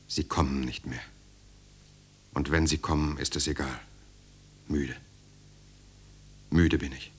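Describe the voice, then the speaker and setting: An actor playing a weary, defiant political prisoner in a German radio drama, speaking in a grave, measured, inward way. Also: male voice, rumbling low, bright, forceful tone, full character voice. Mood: weary, resigned. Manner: grave, measured, inward, sometimes dropping to a murmur. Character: weary, defiant male political prisoner recalling his interrogation. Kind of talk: 1970s German radio drama, inner monologue and dialogue.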